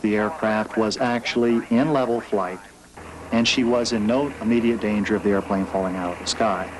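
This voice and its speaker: A man's voice: on the low side, fairly even intonation, moderate pace. A man, an air traffic controller, recounting events in an interview. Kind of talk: interview recollection. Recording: clear background noise.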